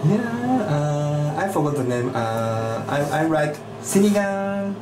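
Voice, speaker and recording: A man with a Japanese accent, chatting casually in halting learner English and searching for words. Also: fairly high pitch, lively intonation, noisy background. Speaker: vlogger, English student. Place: small indoor lesson cubicle. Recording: echoey small room.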